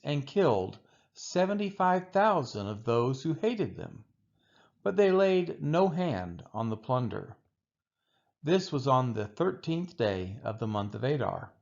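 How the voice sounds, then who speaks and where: Expressive, unhurried man reading scripture aloud. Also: medium pitch, lo-fi sound. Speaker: scripture reader. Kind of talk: measured Bible read-aloud, scripted narration.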